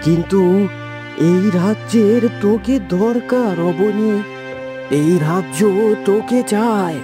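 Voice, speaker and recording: A man voicing a gentle, sorrowful woodcutter father in a fairytale cartoon, earnest and tender. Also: high-pitched, slow. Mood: tender, sad. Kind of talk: melodramatic fairytale cartoon acting. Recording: music behind the dialogue.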